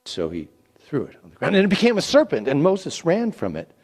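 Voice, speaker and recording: A man reading scripture as an expressive, theatrical narrator. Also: on the high side, a little fast, slightly rough. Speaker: scripture reader.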